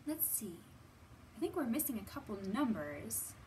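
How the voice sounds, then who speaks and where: Woman, thirties, kids' storytime host. High, animated voice, simple teacherly phrasing, faint camera-distance room sound with some noise. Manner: simple questions to small children, drawn-out words, thoughtful hums. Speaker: children's librarian and storytime host. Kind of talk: children's storytime teaching, read-aloud. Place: indoors in a library.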